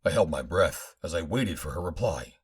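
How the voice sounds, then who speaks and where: Husky, animated male audiobook narrator. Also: low-pitched, moderate pace. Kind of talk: dramatic first-person audiobook narration of pulp adventure fiction.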